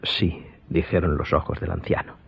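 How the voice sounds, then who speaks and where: A man giving scripted, dramatic audiobook narration in a husky voice. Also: low-pitched, flat delivery, moderate pace, a little hiss or hum. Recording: dull lo-fi sound.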